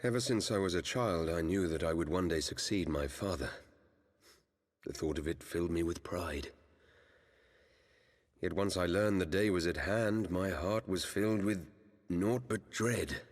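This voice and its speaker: Brief: earnest young knightly heir, British, lowish voice, courtly archaic diction, fantasy game drama, long hall reverb. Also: male voice, measured pace. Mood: troubled, solemn. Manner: formal, archaic, courtly speech. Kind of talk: video game cutscene acting.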